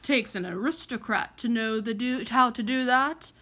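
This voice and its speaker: A woman's voice: on the high side, moderate pace, sharp tone. A woman voicing a blustery colonel in a storybook, hearty and pleased with his own jokes. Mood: jocular. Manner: hearty, chortling. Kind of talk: acted storybook dialogue.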